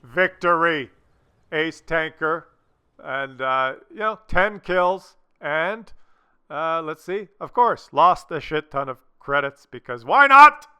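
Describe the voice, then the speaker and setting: Male game commentator, slightly high pitch, sharp bright tone; casual coaching, halting, repetitive, rambling delivery. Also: animated, smooth. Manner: rambling, halting, repeats himself. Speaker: gaming video host and commentator.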